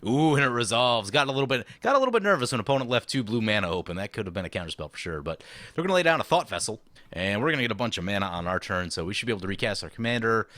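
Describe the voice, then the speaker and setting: A slightly hoarse man commenting on a card game he is playing, thinking aloud through his moves in a chatty, explanatory way. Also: on the low side, expressive, very fast, fairly bright tone, no room sound. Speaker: channel host.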